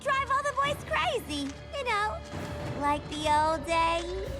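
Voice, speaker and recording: Brash cartoonish jester girl, very high and bouncy, giddy slang delivery. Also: big pitch swings, slow pace, exaggerated voice. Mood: playful. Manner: giddy, slangy, sing-song. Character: zany young female antihero jester, brash. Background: game score music.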